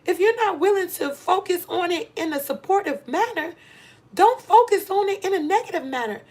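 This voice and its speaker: A woman's voice: very high-pitched, animated, moderate pace, darkish tone. A middle-aged American woman giving a candid motivational monologue to viewers, speaking emphatically and repeating phrases for stress. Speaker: vlogger giving advice to women.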